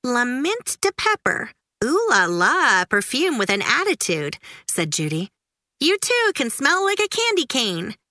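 A woman voicing a spirited, excitable young girl who loves pranks, in a high storybook voice. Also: measured pace, no echo, clean studio sound, heavily acted. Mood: teasing.

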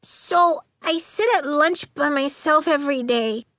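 A woman voicing a shy, sad, earnest schoolgirl in an audiobook, soft-spoken and halting as she repeats her words. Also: high voice, dry recording, studio quality, pitched above the performer's own voice.

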